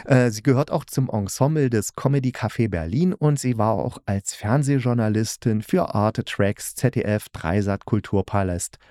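A man with a fairly low voice presents a solo review podcast. He talks in a casual, chatty manner, often stepping out of his sentences for little asides. The sound is dry, with hardly any room to it. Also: normal speed, few pauses, dark tone, no room sound. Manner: chatty, self-interrupting asides. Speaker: podcast host reviewing audio dramas.

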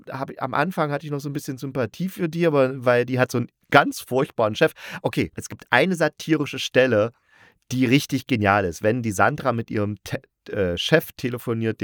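A man's voice: mid-range voice, no echo, studio quality. A man giving a casual, opinionated review monologue as a podcast host, rambling and correcting himself in blunt colloquial language. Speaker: podcast reviewer of audio dramas.

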